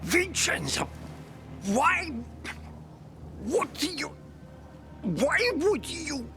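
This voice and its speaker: A man's voice: very high-pitched, sing-song, extremely slow, over music, full character voice. An actor playing an anxious, muddled father in a video game, stammering and flustered, unable to finish his sentences. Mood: alarmed. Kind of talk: video game character acting.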